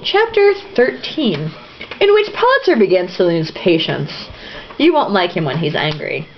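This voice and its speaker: A woman's voice: on the low side, very animated, moderate pace. A woman reading a novel aloud in an irreverent, sarcastic, giggly way, stumbling over sentences and dropping into casual asides. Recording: dull lo-fi home recording, some noise.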